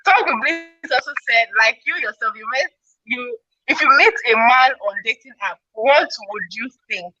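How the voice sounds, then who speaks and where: A woman's voice: fairly high pitch, expressive, moderate pace, sharp tone, highs cut off. A Nigerian woman hosting a casual live podcast, chatty, reading out viewer comments and exclaiming. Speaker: podcast host.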